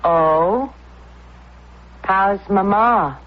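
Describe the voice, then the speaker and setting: A woman playing a ruthless, seductive lover in a radio drama, deliberate, insistent and coolly commanding. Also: mid-range voice. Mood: coolly inviting. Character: seductive, ruthless, world-hungry scheming lover. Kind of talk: old-time radio drama acting. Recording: dull lo-fi radio recording, faint noise.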